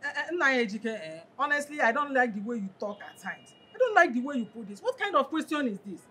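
A woman's voice: high-pitched, sing-song, quick pace, bright, forceful tone, slightly rough, exaggerated voice. An actress with a Nigerian accent playing an aggrieved, defensive woman in a family quarrel. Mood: indignant. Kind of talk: Nollywood film acting.

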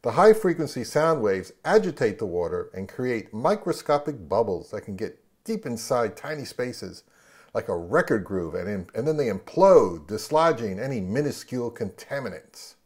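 A man's voice: medium pitch, sing-song, normal speed. A man in his fifties talking casually and offhandedly, like a host of a home product review vlog. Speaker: channel host, record collector. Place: indoors at home, living room and kitchen.

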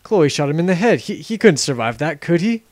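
A man's voice: on the high side, very animated, a little fast, dry recording, studio quality. A man voicing a cocky, callous young adventurer with a glib, sarcastic manner in a parody game dub. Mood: alarmed.

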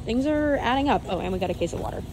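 A woman's voice: a little high, expressive, racing, music in the background, rough recording. A woman in her twenties chatting casually on a handheld phone vlog, upbeat and full of "like" and "literally". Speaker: vlogger. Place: large warehouse store, indoors. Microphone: phone camera held at arm's length.

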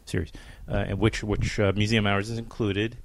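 A man's voice: lowish voice, flat delivery, normal speed, creaky, background noise. A man hosting an interview, asking loose, rambling questions with frequent restarts. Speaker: podcast host.

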